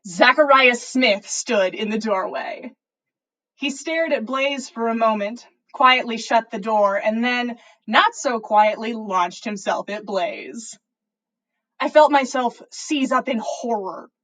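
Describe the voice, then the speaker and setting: A woman with a fairly high voice narrates fiction aloud. She reads as a podcast host would, giving the story expression for her listeners. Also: slow pace, fairly bright tone, low-quality recording.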